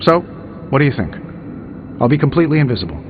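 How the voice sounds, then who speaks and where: An actor playing a cocky, glib billionaire inventor superhero in a video game, teasing and self-assured. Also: male voice, mid-range voice, sing-song, quick pace, background noise, close to an everyday voice. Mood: wry. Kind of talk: video game dialogue acting. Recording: dull muffled game capture, music behind.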